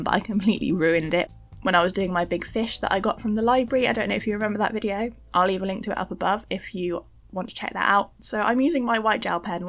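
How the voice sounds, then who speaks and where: A young woman giving a casual, chatty craft voice-over for an art channel, informal and gently self-deprecating. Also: medium pitch, creaky. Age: young adult. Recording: music behind the voice. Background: faint background music.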